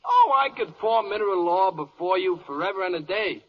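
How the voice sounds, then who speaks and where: An actor playing an eager, persuasive spokesman who makes florid pitches in rhyme on 1940s radio. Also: lively intonation, fairly quick, very noisy. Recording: muffled vintage 1940s radio recording.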